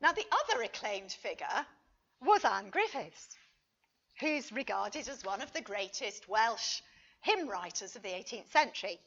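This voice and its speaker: A woman reading a scripted academic paper as a conference speaker, with measured, deliberate and emphatic phrasing. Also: a little high, very animated, a bit bright, lo-fi sound.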